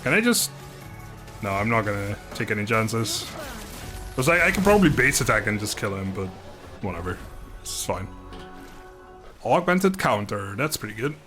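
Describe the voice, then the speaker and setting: A man's voice: on the low side, very animated, normal speed, pauses often, sharp tone. A man giving casual, rambling, self-deprecating commentary as he livestreams a video game. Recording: music playing behind the voice.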